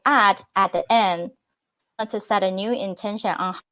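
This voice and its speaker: A woman's voice: mid-range voice, normal speed, fairly bright tone. A woman whose first language is Chinese, answering interview questions earnestly, with false starts and fillers. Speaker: podcast guest.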